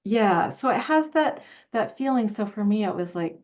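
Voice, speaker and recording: A woman's voice: medium pitch, lively intonation, moderate pace, darkish tone. A woman interviewing someone in casual conversation, recorded with a dull, lo-fi microphone sound.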